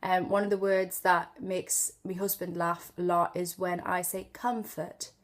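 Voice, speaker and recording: A woman's voice: on the low side, little pitch movement, unhurried. A woman from Newcastle with a Geordie accent, chatting through an unscripted accent tutorial and drawing out sample words to demonstrate them. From Newcastle upon Tyne, northeast England. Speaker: accent tutor and video host.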